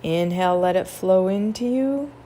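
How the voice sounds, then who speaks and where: A woman narrating a guided meditation in a gentle, measured, instructional manner. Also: mid-range voice, slight background noise.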